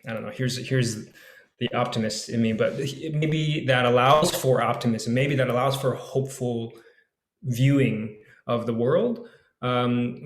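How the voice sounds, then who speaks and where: Male panelist, medium pitch, tentative and thoughtful, unscripted, echoing room sound over a video call. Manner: hesitant, musing, modest. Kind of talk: unscripted personal reflection.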